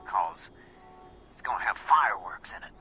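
An actor playing a folksy, rambling middle-aged resistance insider in a video game, giving awkwardly avuncular talk over a call. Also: male voice, on the low side, lively intonation, moderate pace, bright, forceful tone, breathy edge, faint music behind, full character voice. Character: folksy, rambling male resistance insider, self-styled old hound dog.

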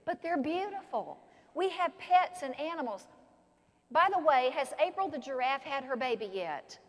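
A woman's voice: sits high, normal speed. Older woman preaching in a reverberant church. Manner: animated, expressive preaching with gestures. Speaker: pastor. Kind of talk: sermon. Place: large church sanctuary, at a pulpit.

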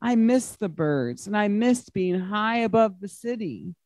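Slow-paced man reading to children. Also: high-pitched, expressive, darkish tone, no room sound. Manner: asks the listening children questions, simple emphatic phrasing. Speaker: guest reader at children's story time. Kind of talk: expressive picture-book read-aloud to children. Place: large glass-walled library room.

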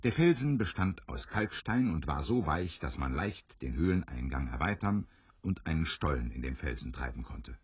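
A man narrating an audio drama like a storybook, measured and expressive in his scripted German storytelling. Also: rumbling low, husky, no room sound. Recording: dry, slightly dull studio recording.

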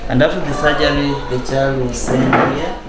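A man's voice: mid-range voice, fairly even intonation, normal speed. Young man, physiotherapy staffer explaining, heavy noise behind him. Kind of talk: explaining a case. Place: physiotherapy room indoors. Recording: echoey room, loud background noise.

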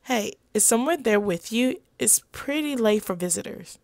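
A woman's voice: on the high side, lively intonation, moderate pace, darkish tone, dry recording. A woman voicing a suspicious, blunt girlfriend in a visual novel, incredulous and sweary. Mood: casual.

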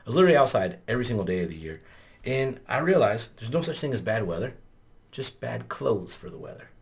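A man hosting a podcast in a small studio, talking casually, swearing often and laughing loudly. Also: mid-range voice, very animated, fast talker, gravelly. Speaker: podcast host. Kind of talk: casual chat. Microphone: studio mic on boom arm.

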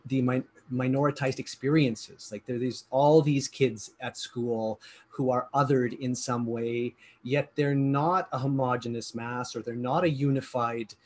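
Husky guy in his fifties asking questions on a headset, earnest, stops and restarts a lot. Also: medium pitch, a little mellow. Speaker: host. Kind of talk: interview questions. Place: home study with bookshelves. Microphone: headset on video call.

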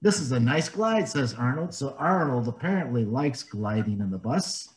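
A man reading a picture book aloud to young children, gently explaining it in simple words and asking them questions. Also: medium pitch, lively intonation, normal speed. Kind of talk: storybook read-aloud with explanations.

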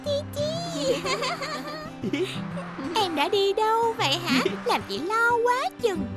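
A sweet, anxious little girl in a children's cartoon. Her voice is extremely high and unhurried. She speaks politely over clear music. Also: very animated, exaggerated voice. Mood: relieved, grateful. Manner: polite, slow. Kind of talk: Vietnamese cartoon dub acting.

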